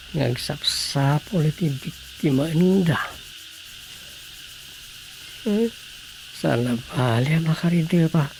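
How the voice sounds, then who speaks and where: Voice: mid-range voice, darkish tone, slight background noise, poor audio. An actor playing a weary, worried villager in a comedy radio drama, hesitant and sighing between phrases.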